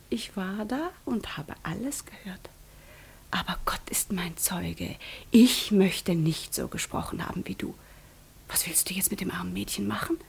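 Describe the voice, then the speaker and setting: A woman acting a proud, sharp-tongued, wounded young noblewoman in a German radio drama, theatrical, often dropping to a whisper. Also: medium pitch, creaky, slightly rough. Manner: theatrical, teasing, accusing.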